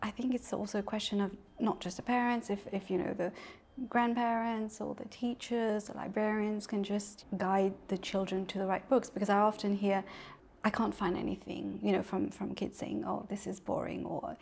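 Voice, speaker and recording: A middle-aged woman from Mauritius talks easily about books and family as an interview guest. Her voice has a gravelly, creaky texture, and she laughs often and doubles back on her words. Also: medium pitch, level tone, moderate pace. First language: English. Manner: warm, chatty, laughs often, many restarts. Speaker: author guest. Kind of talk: casual interview conversation.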